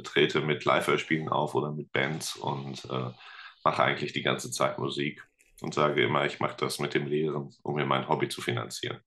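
A man with an extremely low-pitched voice speaks as a guest in a relaxed interview. He talks in a conversational, rambling way, working out his thoughts as he says them. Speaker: guest, teacher and musician. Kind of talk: casual interview chat.

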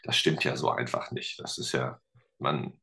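A man's voice: very deep voice, fairly even intonation, moderate pace, vocal fry, sharp tone. A middle-aged man chatting in an interview, rambling with lots of fillers and self-deprecating humor. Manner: rambling, hesitant, many fillers. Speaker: guest, teacher and musician. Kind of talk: casual interview chat.

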